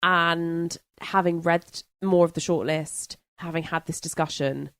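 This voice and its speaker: Woman, podcast panelist, slightly deep pitch, dry recording, casual book discussion. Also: fairly even intonation, measured pace, a bit bright, dry recording.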